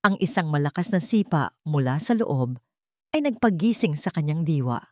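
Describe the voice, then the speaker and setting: A woman's voice: low voice, very animated, normal speed, dry recording, clean studio sound. A woman with a Filipino accent narrating a scripted romantic drama as a storyteller.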